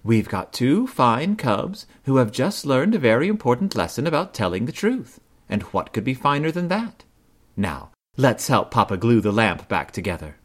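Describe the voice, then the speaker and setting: A man voicing a caring, wise mother bear in a storybook with a soft motherly lilt. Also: mid-range voice, very animated, moderate pace. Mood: reassuring.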